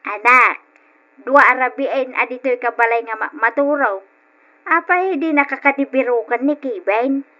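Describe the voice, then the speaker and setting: A caring middle-aged mother with an Ilocano accent. Her voice is very bright and high, with an earnest, concerned delivery in old radio drama style. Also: normal speed, muffled recording. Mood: concerned, gentle.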